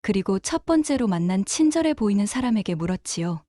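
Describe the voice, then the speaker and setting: A woman reading a translated voice-over in a brisk, even delivery. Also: medium pitch. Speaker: interpreter voice-over. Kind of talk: read translation.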